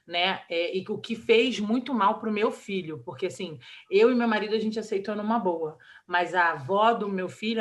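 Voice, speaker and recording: Bright-voiced Brazilian mother recounting. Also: mid-range voice. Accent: Brazilian Portuguese. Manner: emotional, halting with many restarts and fillers. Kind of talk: candid personal interview.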